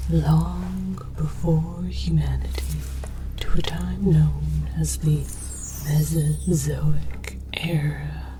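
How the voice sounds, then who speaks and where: A woman whispering scripted ASMR narration as an alien archaeologist, with a deliberate, theatrical, mock-scholarly delivery. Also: sits low, little pitch movement, music in the background. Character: alien archaeologist researcher, scholarly and condescending about humans. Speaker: host of an ASMR series.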